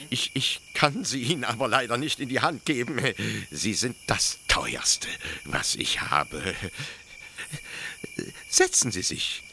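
An actor playing a zealous military officer who explains things eagerly, haltingly and pedantically in a German radio play. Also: male voice, mid-range voice, pushed and bright, a little hiss or hum, heavily acted. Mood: reverent, excited. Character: zealous male military officer proudly explaining his execution machine.

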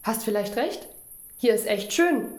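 A woman playing a dry, guarded young woman, higher-pitched. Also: expressive, measured pace, darkish tone, reverberant. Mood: subdued. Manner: dry, matter-of-fact, evasive. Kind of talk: radio crime play acting.

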